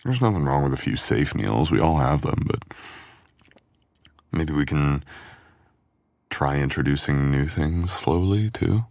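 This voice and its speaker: Very deep-voiced, teasing boyfriend played by a man. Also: expressive, measured pace, creaky, pauses often, a little mellow, no echo, exaggerated voice. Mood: playful, gentle. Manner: playful, teasing, intimate. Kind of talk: boyfriend ASMR roleplay audio acting.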